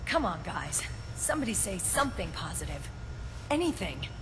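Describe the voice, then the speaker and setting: A woman's voice: fairly low pitch, sing-song, normal speed, noisy background. A woman playing an outspoken, impatient young soldier in a video game, blunt and emphatic. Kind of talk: dramatic video game acting. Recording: music under the voice.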